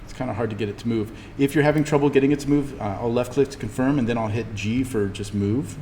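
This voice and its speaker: A man giving a casual, easygoing software tutorial as an instructor, thinking aloud as he explains each step. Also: mid-range voice, fast talker. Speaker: instructor teaching a 3D animation class. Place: indoors, echoing classroom. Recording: reverberant room with background noise.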